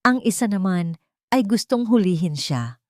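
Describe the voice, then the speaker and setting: A woman's voice: mid-range voice, big pitch swings, moderate pace, a little mellow, no echo, clean studio sound. A woman reading scripted, dramatic story narration.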